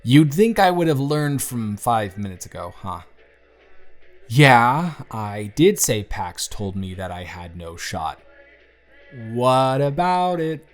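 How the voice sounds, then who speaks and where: A young man playing an earnest, lovestruck best friend in an intimate audio roleplay, speaking in a halting, conversational way. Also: medium pitch, very animated. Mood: flustered, exasperated. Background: background music.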